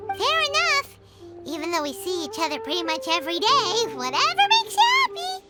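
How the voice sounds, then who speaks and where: 아주 높고 앳된 게임 요정. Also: female voice, wildly swooping pitch, fairly quick, exaggerated voice. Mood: chatty. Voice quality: squeaky. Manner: refers to self in third person, exclamatory. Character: small childlike fairy companion, excitable and easily spooked. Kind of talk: video game voice acting. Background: music.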